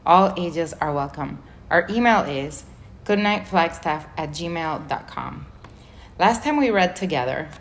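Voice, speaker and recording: A woman reading a children's book chapter aloud as a volunteer reader, in a measured, clear way. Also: lowish voice, slight background noise. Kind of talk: storybook read-aloud narration.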